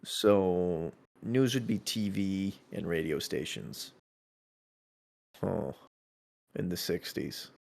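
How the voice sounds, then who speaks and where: A man chatting casually and thinking out loud, halting and repeating words as he works through his ideas. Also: sits low, vocal fry.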